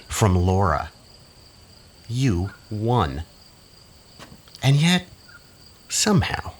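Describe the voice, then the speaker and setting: A sly male schemer played by an actor with a low voice that swings widely in pitch. He taunts silkily, savoring words with theatrical mockery, as in an audio drama. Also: a bit bright, exaggerated voice. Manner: mocking, theatrical, silky insinuation.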